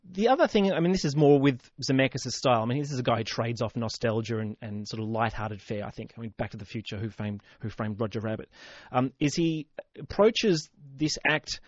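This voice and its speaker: A man's voice: mid-range voice, brisk, few pauses, dry recording, lo-fi sound. A man with an Australian accent reviewing films conversationally as a radio guest, thoughtful and a little hesitant. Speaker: film critic guest on radio. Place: radio studio. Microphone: close studio microphone.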